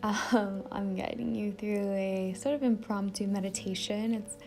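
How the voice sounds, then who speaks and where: A woman leading an impromptu guided meditation in a soft, gentle voice that often trails toward a whisper. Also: mid-range voice, fairly even intonation, measured pace, soft background music. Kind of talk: impromptu guided meditation with casual rambling introduction.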